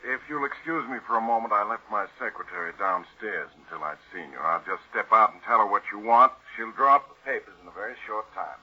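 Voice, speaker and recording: An actor playing a polite, earnest family lawyer with a formal, emphatic manner in 1940s radio style. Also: male voice, lowish voice, animated, brisk, few pauses, breathy edge. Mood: courteous. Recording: muffled old radio recording.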